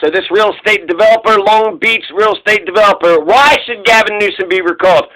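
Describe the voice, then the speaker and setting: A man's voice: sits high, brisk, fairly bright tone, low-quality recording. A man hosting a political talk show, giving commentary and reading out questions and answers, with an emphatic, repetitive delivery. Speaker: host.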